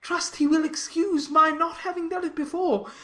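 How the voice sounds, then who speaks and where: A woman voicing a pompous, obsequious and excitable clergyman in a dramatized classic novel, with wordy, emphatic delivery. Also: very high voice, normal speed, pitched above the performer's own voice. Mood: exultant, fawning.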